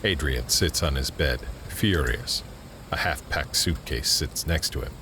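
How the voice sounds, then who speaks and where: An actor as a narrator, reading scripted scene directions for an audio drama. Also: male voice, a bass voice, a little slow, a little hiss or hum. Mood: measured.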